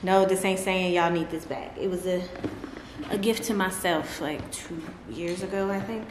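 A woman's voice: fairly low pitch, steady tone, normal speed. A woman, chatting casually to camera in a vlog, laughing often and talking to her dogs. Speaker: vlogger. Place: large bright living room at home. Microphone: camera on a table close by. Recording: echoey room with clear background noise.

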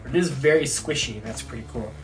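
A man's voice: medium pitch, fast, faint music behind, poor audio. A young man chatting casually to camera as he reviews a toy, rambling and thinking aloud. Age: young adult. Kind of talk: casual unboxing chat to camera. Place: small room at home, indoors.

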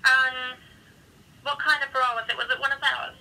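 A woman working as a shop assistant, answering a customer call, heard through a phone loudspeaker. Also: high voice, flat delivery, normal speed, vocal fry, distant mic, low-quality recording. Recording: loud phone loudspeaker, faint room noise.

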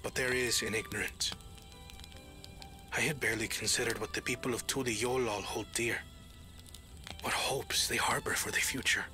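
An actor playing an earnest, bookish young prince in a video game, speaking in a measured, formal, reserved way. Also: male voice, medium pitch, steady tone, bright, forceful tone. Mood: self-reproachful, troubled. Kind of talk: video game cutscene acting. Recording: background music under dialogue.

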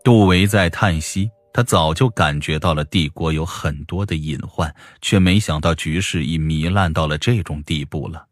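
A man narrating a fantasy audiobook in a measured, dramatic storytelling manner. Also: a bass voice, no echo, studio quality.

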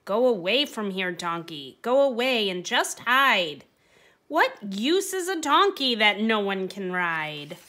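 A woman's voice: mid-range voice, big pitch swings, takes it slowly, pushed and bright, heavily acted. A woman voicing a mean, tough man who owns a donkey, talking in a harsh, scolding way. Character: mean tough male donkey owner, huffy.

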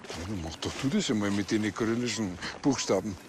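A man's voice: on the low side, a little fast, background noise, natural voice. An actor playing a dry, matter-of-fact village policeman with a Bavarian accent in a TV comedy. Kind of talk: screen acting in a police comedy series.

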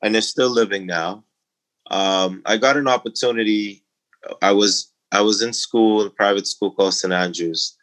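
A middle-aged Bahamian man speaking in a low voice during an interview. He builds his points emphatically, lifting his pitch into a preaching lilt as he lists examples and makes his case. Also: normal speed, fairly bright tone. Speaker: interview guest. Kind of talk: conversational interview.

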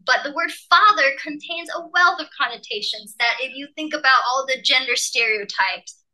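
A woman from Malaysia, a professor, leading an online teaching workshop over a video call and explaining with lively emphasis. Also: sits high, moderate pace, rough recording.

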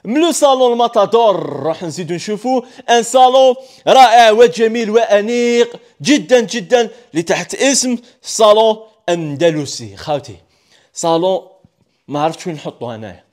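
A young Algerian man talks at a rapid clip in a high, bright-edged voice, his Arabic peppered with French terms. He pitches products with eager salesman energy while walking through a big tiled showroom. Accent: Algerian Arabic with French words. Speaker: furniture showroom presenter. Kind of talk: sales walkthrough video. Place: large indoor furniture showroom with tiled floor.

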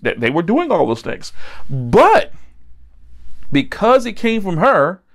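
A middle-aged man preaching a Bible-teaching sermon, emphatic and repeating key phrases for stress. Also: sits high. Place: indoor set with backdrop, at a table.

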